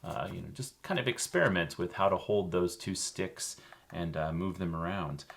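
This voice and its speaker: Deep-voiced man explaining crafts casually. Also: normal speed, creaky. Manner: relaxed, explanatory, many fillers. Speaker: co-host. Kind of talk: casual how-to chat.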